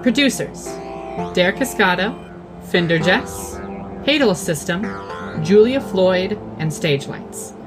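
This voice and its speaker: Female credits announcer, very low pitch, scripted and careful read, clear music bed underneath. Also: animated, takes it slowly. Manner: careful spelling-out of names.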